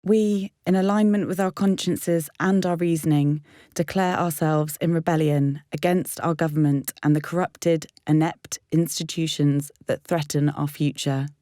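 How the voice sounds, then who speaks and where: A woman reading a scripted activist declaration with a solemn, measured, formal delivery. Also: low voice, steady tone, warm tone, dry recording, studio quality. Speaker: activist reader.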